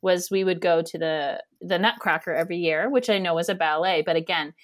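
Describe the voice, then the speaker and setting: Casting note: Canadian woman in her thirties, interview guest, loud nasal voice, chatty and rambling, lower voice. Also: fairly even intonation, fairly quick. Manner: chatty, rambling, laughs often. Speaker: guest, theater education director. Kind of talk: casual interview conversation.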